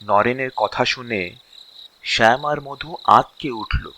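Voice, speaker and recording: Male narrator, scripted storytelling, unhurried, faint insect noise behind. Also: mid-range voice, pushed and bright.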